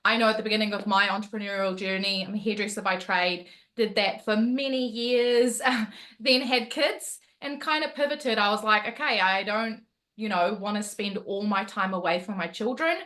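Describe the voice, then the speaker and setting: New zealand lady on a video call, bright sharp voice, lively storyteller, laughs a lot. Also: mid-range voice, normal speed. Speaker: motivational coach. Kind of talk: webinar talk on a video call.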